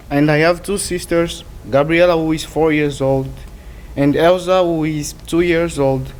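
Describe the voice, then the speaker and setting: Male student, teens, native Portuguese with Angolan accent, slightly high pitch, careful halting prepared speech, faint noise. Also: darkish tone. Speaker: student award presenter.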